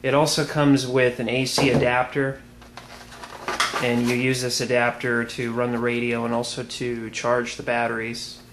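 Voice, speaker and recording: An American man giving an informal product demonstration as a video reviewer, plain-spoken and unhurried. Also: medium pitch, completely flat delivery. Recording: clearly noisy amateur video sound.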